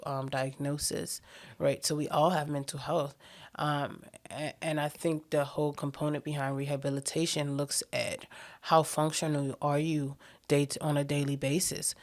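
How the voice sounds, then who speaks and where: A woman with a Bermudian accent hosting a health radio show, interviewing a guest and talking to listeners with frequent ums. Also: deep voice. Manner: warm-toned hostess, laughs easily, many ums.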